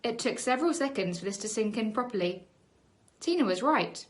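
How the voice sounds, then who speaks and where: A woman teacher reading a children's book aloud in an animated storybook style, leaning on key words with dramatic emphasis. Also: fairly high pitch, fairly quick.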